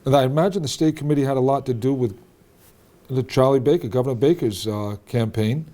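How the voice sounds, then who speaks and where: A man hosts a local cable talk show, his sitting on a warm, dark voice. He walks his guest through topics with leading questions and keeps checking that he has the facts right. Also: mid-range voice, brisk.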